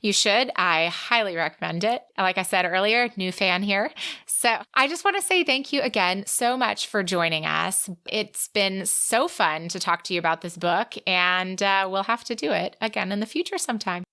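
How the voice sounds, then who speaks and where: A woman's voice: medium pitch, moderate pace, sharp tone, clean tone. A woman co-hosting a podcast, chatting casually and enthusiastically about books in an informal way. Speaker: podcast co-host. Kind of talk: casual book chat.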